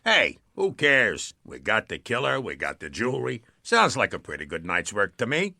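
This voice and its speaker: Brash, hard-edged police lieutenant. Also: male voice, on the low side, wildly swooping pitch, moderate pace, gravelly, sharp tone, dry recording, studio quality, full character voice. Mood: bossy, dismissive. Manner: bossy, wisecracking, slangy. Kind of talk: detective radio drama acting.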